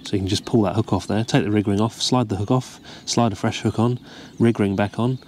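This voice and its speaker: A man, calmly explaining step by step how to tie a fishing rig, recorded outdoors. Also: fairly low pitch, brisk, a little hiss or hum. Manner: relaxed, explains each step while tying. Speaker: carp fishing angler demonstrating a rig. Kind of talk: casual step-by-step tutorial. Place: outdoors by the water, seated over a tackle box.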